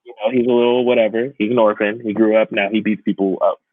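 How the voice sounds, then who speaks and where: A man's voice: on the low side, level tone, quick pace, no room sound, narrow, tinny audio. A young man who hosts a podcast, chatting casually about pop culture in a conversational way with wry asides. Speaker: podcast host.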